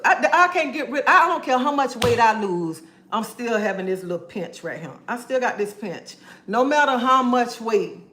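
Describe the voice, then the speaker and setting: A woman's voice: medium pitch, fairly bright tone. Sassy woman, livestream pep talk, preachy and direct, echoey room. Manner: sassy, preachy, calls listeners honey and baby.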